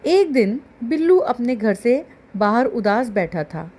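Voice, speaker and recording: A woman's voice: a little high, sing-song, slow pace, a little mellow. A woman narrating a story for children as a storyteller.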